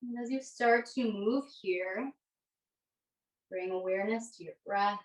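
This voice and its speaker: A woman teaching a yoga class, giving gentle, unhurried guided instructions. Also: medium pitch. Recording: echoey room, distant microphone.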